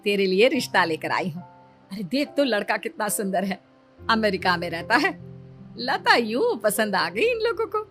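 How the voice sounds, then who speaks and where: A woman's voice: medium pitch, very animated, moderate pace, a bit bright, soft background music. A woman voicing a chatty, persuasive neighbour in a story, coaxing and gossipy. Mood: eager, coaxing.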